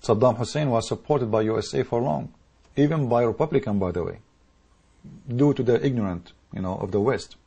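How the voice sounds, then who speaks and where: Male commentator, English, dark mellow husky voice, heated monologue with repeated restarts. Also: medium pitch, a little fast. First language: Arabic. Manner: rhetorical questions, repetitive restarts, emphatic. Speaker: political commentator. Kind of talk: impassioned monologue to camera.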